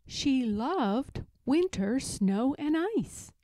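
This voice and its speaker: Woman reading a kids picture book, highish voice, really lilting and expressive, dry close sound. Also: no room sound. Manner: deliberate, expressive storytelling of rhyming lines. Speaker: storybook reader. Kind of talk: children's picture book read-aloud.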